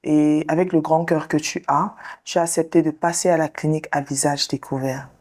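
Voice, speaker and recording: A woman therapist hosting the show, leading a guest through a slow guided visualization and counseling talk in a soothing, directive way. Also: sits low, little pitch movement, darkish tone. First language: English. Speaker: sex therapist and show host.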